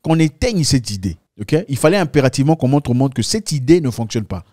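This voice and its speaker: A man's voice: medium pitch, very animated, fast talker, mellow, no echo, studio quality. A man hosting an opinionated political discussion, speaking emphatically and repeating phrases for effect.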